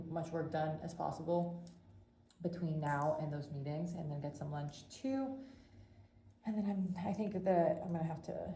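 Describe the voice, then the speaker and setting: A young woman in her twenties chats through her workday in a rambling vlog, her voice low and mellow and slightly congested from a cold. She fills her sentences with likes and ums. The sound bounces around an echoey room with a faint hum behind it. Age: 20s. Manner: chatty, rambling with frequent "like" fillers. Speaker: vlogger. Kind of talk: casual vlog chat. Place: home and office.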